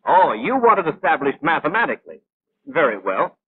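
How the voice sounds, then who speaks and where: A high-voiced, exacting male instructor in an old wartime training cartoon. He lectures in precise, clipped phrases with a very lively rise and fall. The sound is a thin, band-limited film soundtrack. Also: brisk, phone-quality sound, a little hiss or hum. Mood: pedantic. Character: brisk, know-it-all male gunnery instructor, patient but exacting. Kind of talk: 1940s animated training film narration.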